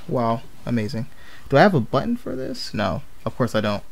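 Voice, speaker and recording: A man's voice: mid-range voice, sing-song, moderate pace, vocal fry. A man chatting casually as he livestreams a game, rambling and often repeating his phrases. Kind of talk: casual gaming stream chat.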